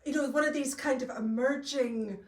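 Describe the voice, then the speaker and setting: A middle-aged Scottish woman spins a folk tale for a live audience, chatty and homely, with folksy asides. Her voice sits high and is picked up from a distance in a reverberant hall. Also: normal speed. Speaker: storyteller. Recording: echoey room, distant mic.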